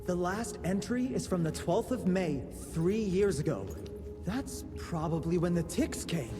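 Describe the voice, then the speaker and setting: A jittery young genius engineer in a game cutscene, his lively voice leaping up and down as he rambles and stammers. Loud music plays behind him. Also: high-pitched, measured pace. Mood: nervous. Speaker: inventor character in a video game.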